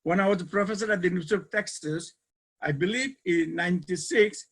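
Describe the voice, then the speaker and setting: A man's voice: high-pitched, fast, a bit bright, breathy edge. An older man with a Bangladeshi accent explaining material in a webinar lecture, emphatically repeating key terms in simple conversational phrasing. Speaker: university educator, keynote speaker.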